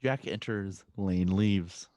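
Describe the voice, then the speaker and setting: Table-read narrator: man, soft relaxed timbre, very dry sound, informal delivery. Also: sits low, slow, no echo, dull audio. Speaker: stage-direction narrator of a table reading. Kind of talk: scripted narration and casual chat.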